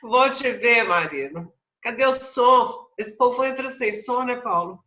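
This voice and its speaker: A woman's voice: on the high side, measured pace. A woman with a cold, a course teacher, chatting and greeting students on an online class call. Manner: chatty, lively, welcoming. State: has a cold. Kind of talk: casual chat before an online class. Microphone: video call. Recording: muffled video call audio.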